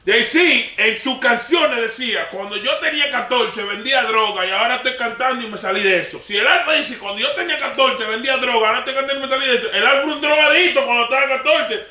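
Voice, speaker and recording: A Dominican man with a very high, bright voice argues in a casual group talk. He is emphatic and slangy, hammering his point by repeating it. The sound is roomy and echoing. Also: fast, hardly any pauses. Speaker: talk show participant. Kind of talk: animated casual group debate. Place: small dim lounge indoors. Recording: roomy echo, far from mic.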